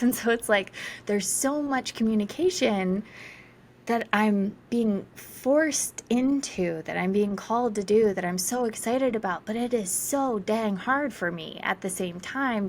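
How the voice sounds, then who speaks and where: A woman's voice: lowish voice, vocal fry, slight background noise. A woman from South Carolina, a guest astrologer, talking casually in an interview with many fillers and laughs. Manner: chatty, lots of fillers and laughter.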